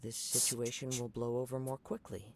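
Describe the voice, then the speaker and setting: A man voices a reserved young samurai with a gravelly edge to his voice. He speaks formally, calmly and politely. Background noise sits clearly under his lines. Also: medium pitch, lightly acted. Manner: calm, formal, measured. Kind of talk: video game dialogue.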